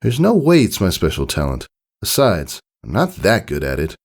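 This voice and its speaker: A man's voice: sits low, huge pitch swings, normal speed, heavily acted. A man voicing an earnest, determined young pegasus filly in a storybook reading, with youthful phrasing. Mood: discouraged. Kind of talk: storybook character voice.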